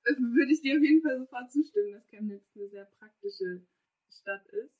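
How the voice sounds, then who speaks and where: A woman's voice: on the high side, normal speed, breathy edge. A young woman hosting a podcast, asking questions in a friendly, informal way over a video call. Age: young adult. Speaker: podcast host. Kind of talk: casual online interview. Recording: muffled, phone-like line.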